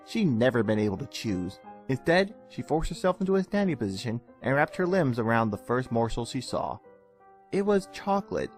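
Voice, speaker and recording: Male narrator, mid-pitched, very animated, theatrical, dramatic storytelling, soft music behind.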